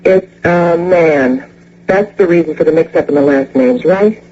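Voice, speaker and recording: Brash, wisecracking city gal. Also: female voice, low-pitched, a little slow, dark tone, husky. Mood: blunt, kindly. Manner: slangy, wisecracking, breezy. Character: brash, chatty, short plump New York woman neighbor. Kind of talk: old-time radio drama acting. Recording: dull, noisy radio drama recording with hum.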